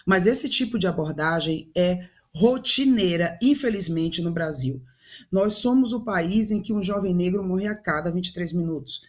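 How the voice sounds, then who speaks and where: Low-voiced Bahian woman commentator. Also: moderate pace, a little mellow. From Salvador, Bahia, Brazil. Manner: earnest, firm, some hesitations. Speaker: businesswoman, commentator. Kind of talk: studio panel commentary. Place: TV studio.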